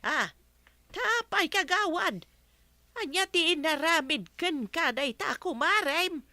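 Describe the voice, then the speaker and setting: An inquisitive villager in a radio comedy. Her voice is very high and bright. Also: animated, takes it slowly, dry recording, full character voice. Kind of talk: Ilocano radio comedy drama acting.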